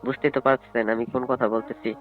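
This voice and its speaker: Wealthy male client played by a man, quick, hesitant yet persuasive delivery, tinny phone sound with faint music. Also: medium pitch, flat delivery, natural voice. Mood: insistent, persuasive. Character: wealthy male event client, politely insistent, propositioning.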